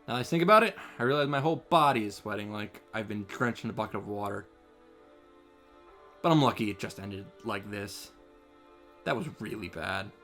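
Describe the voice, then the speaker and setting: Man voicing an earnest young male apprentice mage, quiet solemn inner monologue in visual novel style, music behind. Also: on the low side, expressive. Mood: grave, relieved. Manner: hushed, solemn, measured narration. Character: earnest, determined young male student of magic. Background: background music.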